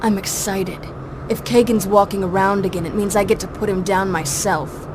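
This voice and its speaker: A woman plays a brash heroine from a video game, hungry for revenge. Her voice is mid-pitched and wry, with clear ambient noise behind it. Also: fairly even intonation, moderate pace, natural voice. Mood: eager, excited. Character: cocky, vengeful female action heroine. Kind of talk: character acting in a video game.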